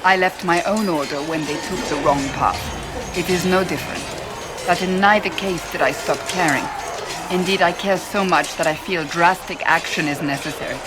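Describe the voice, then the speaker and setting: Fantasy game warrior woman, actress performing: stern, devout, grave formal delivery, clear music bed. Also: fairly low pitch, moderate pace, natural voice, low-quality recording. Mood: earnest conviction. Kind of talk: dramatic video game dialogue.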